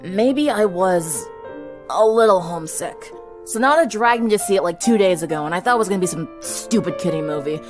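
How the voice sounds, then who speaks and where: A woman's voice: fairly low pitch, animated, fairly quick, close to an everyday voice. Snarky teen ex-siren girl, female reader in character: brash, dismissive, storybook drama with prominent music behind. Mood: guarded, confiding. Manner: snappish, dismissive, grudgingly candid. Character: brash, snarly, sarcastic teenage former-siren girl. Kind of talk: dramatic fan-fiction reading.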